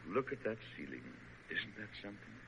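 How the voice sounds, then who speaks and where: A man's voice: on the low side, big pitch swings, a little fast, gravelly, fairly bright tone, full character voice. An actor playing a proud, fussy middle-aged president, playfully pompous with his wife, in a 1940s American radio drama. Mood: proud, musing. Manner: pompous, declamatory. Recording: muffled, noisy old radio recording.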